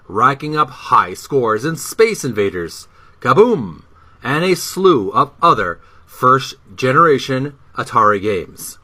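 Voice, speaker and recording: A man reading a book introduction aloud as narration, with deliberate, emphatic phrasing. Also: mid-range voice, sharp tone.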